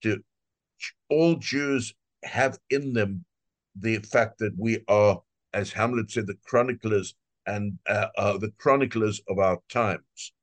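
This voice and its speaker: An older man speaks earnestly as an interview guest, measured and a little halting. His voice sits somewhat low, and the sound is close and dry, with no room echo. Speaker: translator, guest. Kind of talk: casual interview talk.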